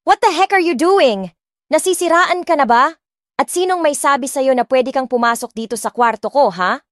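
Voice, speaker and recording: A woman's voice: high voice, moderate pace, no echo, faint music behind. A woman from the Philippines reading a first-person romance audiobook, dramatic and indignant, switching between Tagalog and English. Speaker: audiobook narrator.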